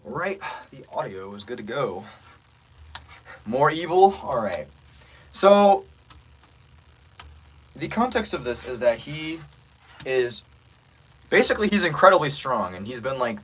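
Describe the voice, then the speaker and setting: A man's voice: medium pitch, sharp tone, husky, rough recording. A man hosting a casual livestream as a voice actor, joking playfully with the viewers as he talks.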